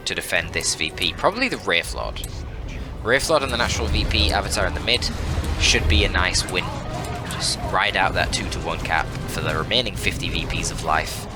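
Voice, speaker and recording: Low-voiced man casting a strategy game. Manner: chatty, analytical, informal and lightly joking. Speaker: esports caster and channel host. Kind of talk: casual strategy game commentary. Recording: music behind the voice.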